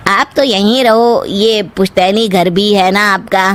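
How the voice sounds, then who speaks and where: A woman's voice: medium pitch, steady tone, a little slow, lightly acted. A woman voicing a meek, hesitant young husband in a cartoon story.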